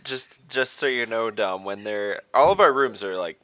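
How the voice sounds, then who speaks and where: Low, bright-edged man chatting casually. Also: normal speed. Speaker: tabletop game player. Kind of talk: casual chat. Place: indoors at home, on a group video call. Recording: dull video call audio.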